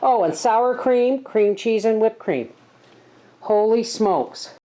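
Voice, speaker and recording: Middle-aged woman, a bit high, under a head cold, rambling homestead vlogger. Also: animated, slow, warm tone. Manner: earnest, chatty, rambling. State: head cold, scratchy throat. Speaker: homesteading channel host. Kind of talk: casual talk to camera.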